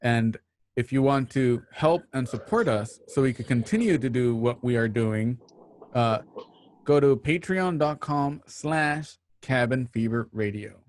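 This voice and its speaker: A man's voice: mid-range voice, expressive, measured pace, slight background noise. A man hosting an online radio drama show, casually giving thanks and announcements to listeners.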